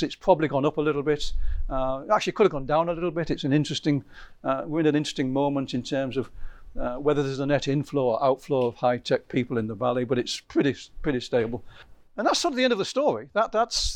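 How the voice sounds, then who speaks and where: A man's voice: mid-range voice, no echo. A man lecturing to a visiting group in a loose, conversational way, often correcting himself mid-sentence and stressing key points. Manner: rambling, self-correcting, lively emphasis.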